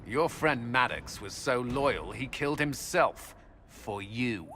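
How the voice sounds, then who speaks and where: An actor playing an earnest, soft-spoken military commander with a halting manner, in dramatic video game dialogue. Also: male voice, medium pitch, expressive, soft background music. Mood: stern, angry. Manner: hesitant and halting in intimate talk.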